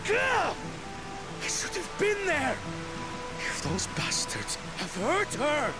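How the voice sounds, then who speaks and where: An actor playing a young catfolk prince, a protective brother who is earnest and hot-tempered, speaking in a formal, measured, dramatic way for a video game. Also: male voice, very high-pitched, huge pitch swings, music in the background, exaggerated voice. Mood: anguished, furious.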